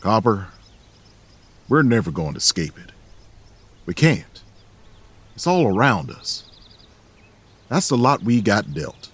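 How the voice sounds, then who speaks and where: A man acting a calm, weary, caring companion who speaks softly and coaxes others, in an audio drama. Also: lowish voice, slow, long pauses, slightly rough, exaggerated voice. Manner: soft, coaxing, gentle. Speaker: Silver.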